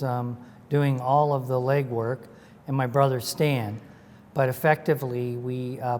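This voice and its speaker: A man in his sixties who speaks slowly and haltingly as an interview guest, with lots of fillers. His voice trails into a long reverb, and a faint hiss sits under it. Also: mid-range voice, a little mellow. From Ontario, Canada. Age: 60s. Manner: slow and halting, many uh and um fillers. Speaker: interview guest, former lacrosse organizer. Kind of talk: recalling past in interview.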